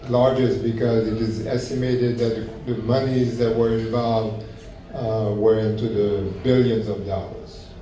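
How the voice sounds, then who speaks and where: A man moderating a forum and introducing a panelist to an audience in a halting, hesitant delivery. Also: fairly low pitch, little pitch movement, mellow. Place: large echoey indoor event room. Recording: echoey room from far off, faint noise.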